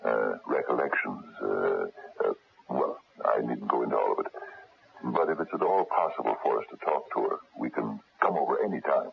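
A gruff, methodical private investigator in a 1970s radio mystery. His voice is extremely low and full of gravel, with a husky edge. He probes in a businesslike, halting manner on a dull, lo-fi recording. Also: full character voice. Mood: businesslike, probing. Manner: hesitant, probing, businesslike. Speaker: radio drama actor.

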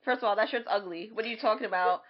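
A young woman co-hosting a podcast in casual chat, animated and sarcastic with mock indignation. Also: mid-range voice, very fast, highs cut off. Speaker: podcast co-host.

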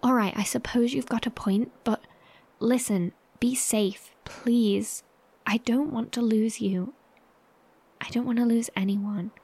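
A woman's voice: a little high, fairly even intonation, unhurried, dark tone, slightly rough. A woman playing a caring, anxious survivors' broadcaster in an audio horror drama. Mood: worried, tender. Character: Jess, caring, anxious female bunker broadcaster.

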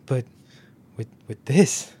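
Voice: takes it slowly, mellow, natural voice. An actor playing an anxious, sleepless customer who stammers and hesitates. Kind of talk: audio drama acting.

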